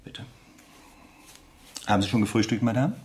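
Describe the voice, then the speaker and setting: A rather low-pitched French officer, played by a man in a radio drama. He questions with suave courtesy and dry irony. Small hesitations and deliberate pauses break up his polite phrases. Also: darkish tone, slight background noise, natural voice. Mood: courteous. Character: French army captain, suave, sardonic interrogation officer. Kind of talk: German radio drama acting.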